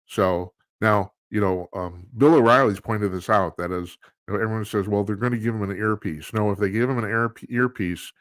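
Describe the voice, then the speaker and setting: An older man in his sixties hosts a late-night radio talk show, his voice sitting low. He rambles in a loose monologue, restarting his sentences and poking fun at himself. The studio mic sounds close and dry, with no room around it. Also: normal speed, few pauses, fairly bright tone, no room sound. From United States. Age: 60s. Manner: rambling, self-deprecating, many restarts and fillers. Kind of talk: casual monologue to listeners.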